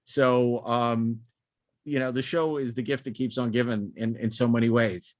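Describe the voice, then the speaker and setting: A man's voice: medium pitch, fairly even intonation, moderate pace, no echo. A man hosting a podcast in casual, enthusiastic chat, rambling with repeated words and stop-start phrasing. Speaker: podcast host.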